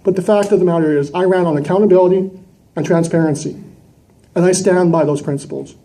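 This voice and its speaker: Indignant man, higher-pitched and mellow. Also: quick pace, poor audio. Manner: indignant, combative, emphatic with rhetorical questions. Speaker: citizen delegate at a council meeting. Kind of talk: impassioned public address. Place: large indoor council chamber. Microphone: gooseneck microphone close to mouth.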